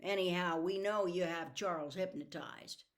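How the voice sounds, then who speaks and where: A bold teenage boy from a storybook, read by a woman. He is forthright and challenging, with a determined delivery at a slightly low pitch for a female voice. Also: moderate pace, lightly acted. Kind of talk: audiobook character voice.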